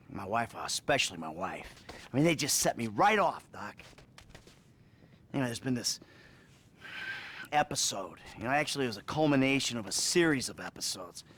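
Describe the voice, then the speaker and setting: Video game role: middle-aged American crook in therapy, sighing, self-loathing and wry, with fry in the voice and lively intonation. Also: male voice, mid-range voice, full character voice. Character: troubled middle-aged male criminal confessing to his therapist. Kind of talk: naturalistic video game acting.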